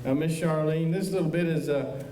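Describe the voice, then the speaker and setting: A man's voice: fairly high pitch, moderate pace, warm tone, natural voice. A man acting a polite, bashful, folksy marshal's helper in a western radio drama, speaking hesitantly. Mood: hesitant, obliging. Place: high school auditorium stage. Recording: echoey auditorium, distant mic, faint noise.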